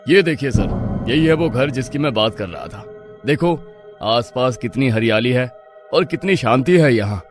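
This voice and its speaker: A man's voice: medium pitch, lively intonation, moderate pace, soft background music, natural voice. A man playing a sly, scheming property dealer in a horror story, muttering and ingratiating like a salesman. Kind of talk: dramatised Hindi horror story.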